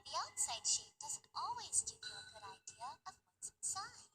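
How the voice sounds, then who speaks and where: A woman voicing a bright, patient fourteen-year-old little sister in a visual novel, explaining things in a gently philosophical way. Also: high-pitched, big pitch swings, moderate pace, full character voice. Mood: patient, thoughtful. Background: game music.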